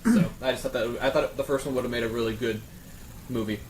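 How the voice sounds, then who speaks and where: A man's voice: mid-range voice, very fast. A man talking casually about games and films in a group chat, rambling enthusiastically and swearing now and then. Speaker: podcast panelist. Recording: roomy echo, faint background noise.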